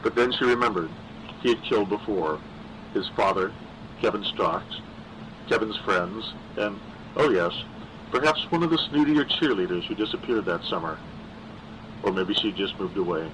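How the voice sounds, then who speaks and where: A man's voice: fairly low pitch, normal speed, long pauses, fairly bright tone, a little hiss or hum, poor audio. A man narrating a horror story in a dramatic reading style.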